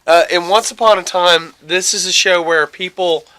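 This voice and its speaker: A man hosting a casual, rambling podcast chat, haltingly searching for words and often repeating his phrases. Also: high voice, steady tone, pushed and bright, clean tone, low-quality recording. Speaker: podcast host.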